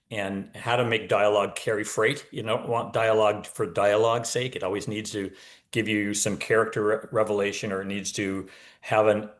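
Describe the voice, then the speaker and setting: A man's voice: lowish voice, a bit bright. A man speaking as an author guest in a casual interview, explaining his craft in a talkative, wry manner.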